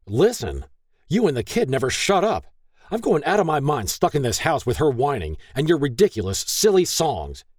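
A man's voice: a little high, sing-song, normal speed, breathy edge, no echo, pitched above the performer's own voice. Cruel, volatile husband, played by a male narrator, audiobook drama. Raised, growling delivery, very dry recording. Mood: furious, contemptuous. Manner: shouting, growling, contemptuous. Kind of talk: audiobook character acting.

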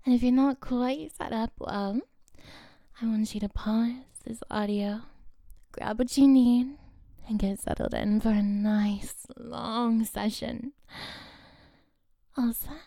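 A woman speaks slowly and teasingly, her fairly high voice carrying a gravelly fry. She keeps slipping into close whispers and soft giggles. The tone is warm and mellow, recorded very dry. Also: fairly even intonation, no room sound. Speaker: audio content creator. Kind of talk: intimate scripted audio roleplay.

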